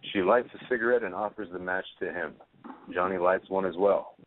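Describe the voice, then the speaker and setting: A man's voice: deep voice, fairly quick, slight background noise. A man narrating a play script, reading out the stage directions. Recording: tinny phone-line audio.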